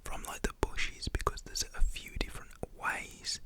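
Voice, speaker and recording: Whispering British storyteller, casual and slangy. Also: moderate pace. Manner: informal, slangy, acts out quoted shouts in a whisper.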